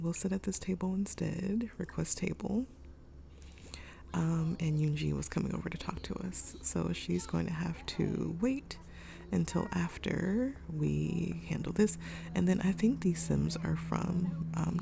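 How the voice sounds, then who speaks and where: A young woman with a raspy voice from a cold, chatting her way through a life-sim game with lots of ums. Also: fairly low pitch, measured pace, warm tone. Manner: chatty, thinking aloud with many ums. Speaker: gaming YouTuber. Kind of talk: casual let's-play commentary. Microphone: desk microphone.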